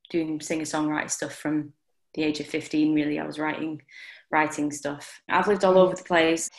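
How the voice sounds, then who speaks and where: A young woman with a Lancashire accent, answering interview questions in a thoughtful way with frequent restarts. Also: low voice. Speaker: guest theatre maker and composer. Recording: roomy echo.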